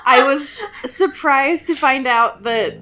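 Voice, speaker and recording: A woman's voice: high voice, takes it slowly, background noise. A young adult woman co-hosting a podcast in casual comedic banter, wry, teasing and sweary. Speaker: podcast co-host.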